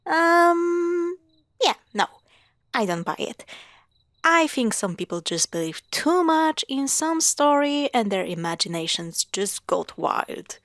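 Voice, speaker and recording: A woman's voice: sits high, sing-song, a bit bright, no echo, clean studio sound, exaggerated voice. A woman playing a skeptical, teasing young girlfriend in an audio roleplay, chatting casually with friends. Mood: amused, skeptical. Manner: casual, teasing, hesitant pauses. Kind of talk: audio roleplay acting, conversational.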